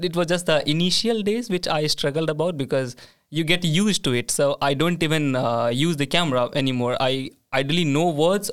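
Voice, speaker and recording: A man's voice: a little high, fairly bright tone. A man hosting a casual group chat about living abroad, talking with many fillers and restarts. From not from Sweden. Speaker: host.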